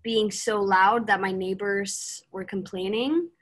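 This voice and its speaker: A woman's voice: medium pitch, fairly even intonation, slow pace, fairly bright tone. Upbeat young woman from Sweden on a video call. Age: young adult. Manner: chatty and upbeat, fillers and restarts. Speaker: singer being interviewed. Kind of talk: casual video-call interview. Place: small room indoors. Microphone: laptop mic on a video call, no earphones.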